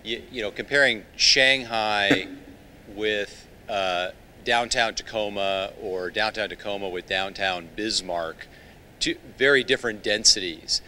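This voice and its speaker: A man in the audience who asks a measured, thoughtful question, with plenty of uhs and ums along the way. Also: fairly low pitch, pushed and bright, slight background noise. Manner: measured, thoughtful, with many fillers. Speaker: audience member. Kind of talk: question from the floor.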